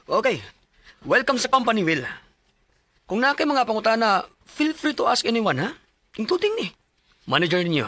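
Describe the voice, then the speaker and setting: A man's voice: sits high, big pitch swings, a bit bright, dry recording, exaggerated voice. An actor playing a breezy, demanding male company boss in a Bisaya radio drama, with a Cebuano accent. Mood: breezy, welcoming. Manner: brisk, bossy, casually dismissive.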